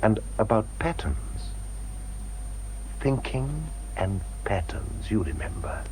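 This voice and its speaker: Very deep, halting, earnest British man. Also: big pitch swings, darkish tone, slight background noise, poor audio. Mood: tender pleading. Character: grave, hesitant male former patient, gently earnest. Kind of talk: British radio drama acting.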